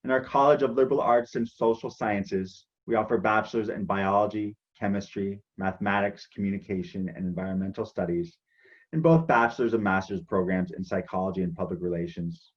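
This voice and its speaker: A man presenting university programs in a measured, scripted manner. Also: lowish voice, husky, lo-fi sound. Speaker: international outreach presenter.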